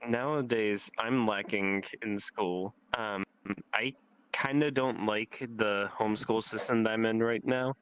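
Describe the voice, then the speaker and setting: Bright, clear-voiced teenage guy chatting. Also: lowish voice, steady tone, unhurried, no room sound, muffled recording. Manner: rambling, frequent "like", casual swearing. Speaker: guest telling life experiences. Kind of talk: casual chat. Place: online voice chat in a VR social game.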